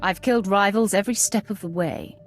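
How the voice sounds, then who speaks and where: A woman's voice: mid-range voice, a little fast, faint music behind, lightly acted. A woman playing a cold, ruthless Sith warrior in a video game, with terse, imperious delivery. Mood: cold resolve. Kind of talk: dramatic video game acting.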